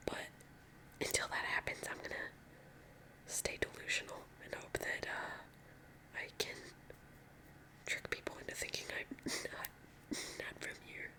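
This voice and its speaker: A woman's voice: takes it slowly, pauses often, bright, forceful tone, poor audio. A young woman from California with a Californian accent, whispering ASMR chit-chat in a rambling, chatty way full of filler words while she does her nails. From California, USA. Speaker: ASMR creator.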